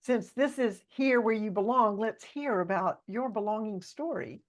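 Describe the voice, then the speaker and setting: A woman's voice: mid-range voice, normal speed. A woman hosting a podcast interview, teasing her guest playfully. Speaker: podcast host.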